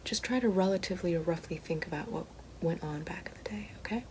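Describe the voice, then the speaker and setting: A woman playing a vain, bitter horned evil fairy sorceress in a whispered and soft-spoken ASMR roleplay, with precise, coaxing delivery. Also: lowish voice, fast talker, lightly acted. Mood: soothing, coaxing. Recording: soft whispering over loud background noise.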